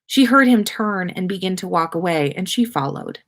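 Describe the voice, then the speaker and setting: Low-voiced woman reading a story aloud. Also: lively intonation, normal speed. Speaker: podcast host reading listener stories. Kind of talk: story narration read aloud.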